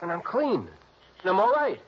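An actor in an old radio drama playing a frightened, suspicious escaped convict who pleads in rough streetwise New slang. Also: male voice, high voice, wildly swooping pitch, moderate pace, exaggerated voice. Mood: defensive, wary. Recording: muffled, noisy 1960s radio broadcast.

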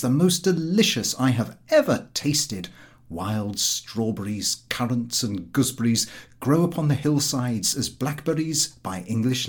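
A man narrating a comic literary travelogue as a scripted audiobook. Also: mid-range voice.

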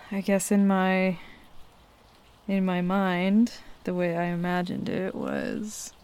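A woman playing a shy, smitten young tomboy roommate in an intimate ASMR roleplay, halting and flustered as she talks. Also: fairly low pitch, gravelly, a little mellow. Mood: flustered, teasing. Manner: halting, hesitant, flustered with nervous chuckles. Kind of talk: ASMR roleplay, intimate one-sided dialogue. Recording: faint rain noise behind close voice. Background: rain falling.

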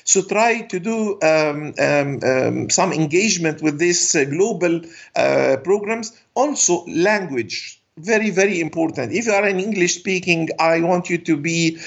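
A middle-aged or older man, a native Arabic speaker with an Arabic accent, giving advice in an interview in a halting way full of hesitations. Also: fairly high pitch, lively intonation, vocal fry, husky, highs cut off. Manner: halting, hesitant delivery with frequent long fillers. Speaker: guest, sports business consultant.

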